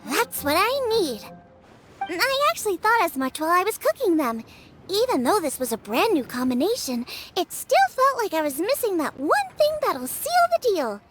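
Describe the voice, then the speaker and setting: A cheerful, earnest young girl chef in a video game. The girlish voice is extremely high and lively, eager and expressive, with music clearly playing behind. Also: normal speed, full character voice. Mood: thoughtful.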